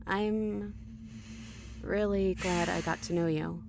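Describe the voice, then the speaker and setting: A woman playing a sincere, wry young princess in a video game, talking in a soft, conversational way. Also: medium pitch, fairly even intonation, slow pace, vocal fry, noisy background. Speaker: game character.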